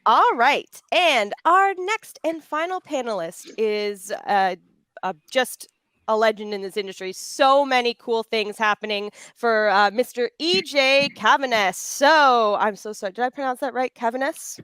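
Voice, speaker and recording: A woman's voice: fairly high pitch, lively intonation, unhurried, a bit bright, clear, resonant tone, dry recording. A woman hosting a panel, chatty and effusive, with emphatic delivery as she addresses guests and audience. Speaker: panel host and audio drama voice actor. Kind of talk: hosting a pre-recorded panel, introducing guests.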